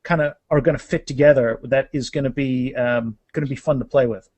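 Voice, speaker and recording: A man speaking as an author guest in a casual interview, halting and restarting phrases, with self-deprecating humor. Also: medium pitch, steady tone, darkish tone, breathy edge.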